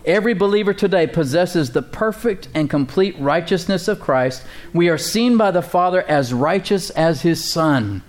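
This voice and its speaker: A man's voice: a little high, lively intonation, normal speed, hardly any pauses. A male pastor preaching a sermon in a conversational, emphatic way, repeating words and chuckling now and then.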